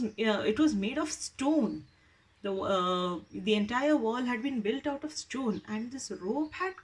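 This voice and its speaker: A woman's voice: high voice, rough recording. A woman storyteller narrating informally, repeating words and phrases for emphasis.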